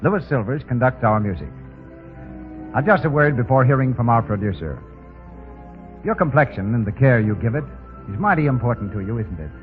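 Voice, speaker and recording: A male radio announcer in the 1930s style, polished and persuasive. His pitch swings widely from line to line. The sound is a tinny old broadcast recording with music around it. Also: lively intonation, a little fast, long pauses. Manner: polished, persuasive sales delivery.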